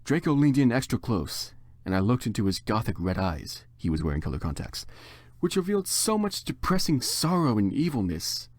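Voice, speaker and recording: A man's voice: mid-range voice, sing-song, normal speed, no room sound. A man giving a theatrical, mock-serious dramatic reading of fan fiction as the narrator. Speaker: reader.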